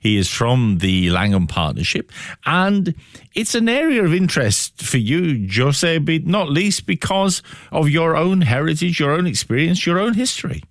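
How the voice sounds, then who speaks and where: A man hosting a thoughtful radio interview, setting out questions in measured, reflective phrases. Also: a little high, big pitch swings, dry recording, studio quality.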